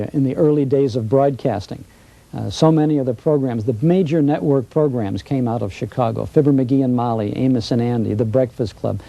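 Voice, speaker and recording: An older man giving informal historical commentary in an interview, explaining in measured phrases. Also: mid-range voice, warm tone, low-quality recording.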